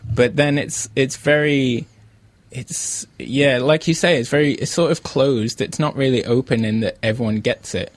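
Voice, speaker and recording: A man's voice: mid-range voice, normal speed, dry recording. An Australian man hosting a casual interview podcast from a radio studio.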